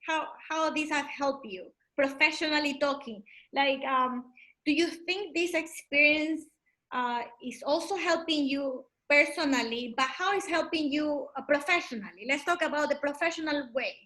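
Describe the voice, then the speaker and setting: High-pitched woman interviewing casually. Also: moderate pace, bright, forceful tone. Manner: rephrases and recaps answers, encouraging. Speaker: interviewer, host.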